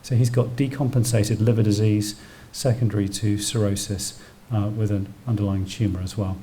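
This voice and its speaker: British guy doing a medical talk, deepish mellow voice, explains jargon simply, bit of room noise. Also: flat delivery, moderate pace. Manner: technical, explains terms plainly as he goes. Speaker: doctor lecturing on emergency radiology. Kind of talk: public medical lecture, explanatory. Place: indoor public lecture venue.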